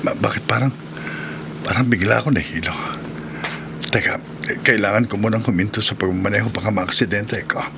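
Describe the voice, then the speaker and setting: A man's voice: on the low side, steady tone, brisk, creaky, long pauses, noisy background. A man with a Filipino accent playing a humble, hurt taxi driver husband in a melodramatic radio drama. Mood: dizzy, distressed. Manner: earnest, emotive, melodramatic.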